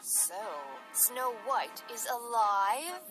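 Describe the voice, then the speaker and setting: A woman voicing a vain, jealous, scheming evil queen in a children's storybook tale, with an imperious, deliberate manner. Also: on the high side, lively intonation, over music. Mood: furious.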